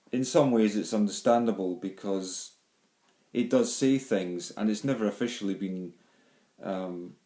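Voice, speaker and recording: A man's voice: lowish voice, mellow. A middle-aged man from Glasgow with a Glaswegian Scottish accent, giving a rambling home video monologue with many ums and restarts. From Scotland. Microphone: handheld phone or camera. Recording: echoey room.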